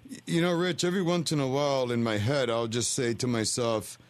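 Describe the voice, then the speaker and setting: A man's voice: mid-range voice, fairly even intonation, fairly quick. A man hosting a call-in radio talk show, upbeat and folksy as he greets callers and guests.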